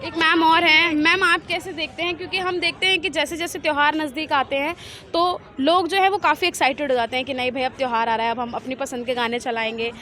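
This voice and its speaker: A woman's voice: high-pitched, fairly even intonation, fast, bright, forceful tone, some chatter behind. A woman working as a street reporter, asking passers-by questions in a conversational way. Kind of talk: vox pop interviewing. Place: outdoors on an urban street.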